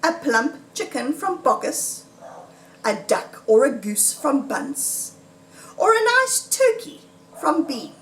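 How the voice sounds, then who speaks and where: Woman voicing a doting storybook fox husband, high and echoey. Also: big pitch swings, takes it slowly, a bit bright, heavily acted. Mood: fond, relishing. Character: clever, courteous male fox husband, fond and relishing. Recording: roomy echo, distant microphone.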